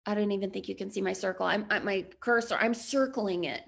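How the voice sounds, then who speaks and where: A woman in her thirties leading an online business training, chatty and upbeat, with a slightly raspy voice. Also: medium pitch, fairly quick, a little hiss or hum. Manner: upbeat, chatty, frequent laughs and throat clearing. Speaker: business coach.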